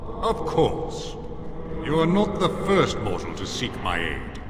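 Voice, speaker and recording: Echoing, proud warrior spirit. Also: male voice, mid-range voice, huge pitch swings, slow. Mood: proud, lecturing. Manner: formal, declamatory, haughty. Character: proud, stern male warrior spirit of valor. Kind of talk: fantasy video game dialogue. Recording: echoing reverb with loud background noise.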